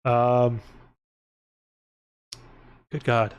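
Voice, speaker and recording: A man's voice: medium pitch, flat delivery, extremely slow, smooth. A man chatting casually on a livestream, informal and sweary, often interrupting himself and drifting onto tangents. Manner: profane, informal, tangent-prone, self-interrupting. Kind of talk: casual rambling livestream chat.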